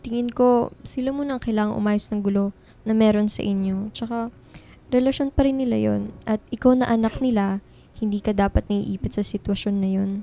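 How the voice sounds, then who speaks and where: A woman's voice: mid-range voice, steady tone, a little fast, creaky, mellow, close to an everyday voice. A woman playing a caring, easily flustered young friend in a Filipino radio drama. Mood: comforting.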